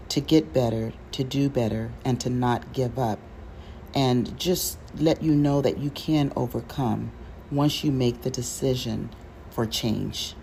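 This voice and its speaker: Gravelly, dark-voiced woman host. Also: a bass voice, a little hiss or hum, poor audio. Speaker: podcast host. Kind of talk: scripted show introduction.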